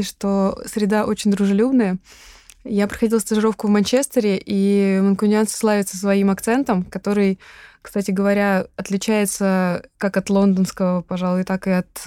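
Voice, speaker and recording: A young woman answering interview questions in a measured, articulate way. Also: medium pitch, mellow, no room sound, clean studio sound.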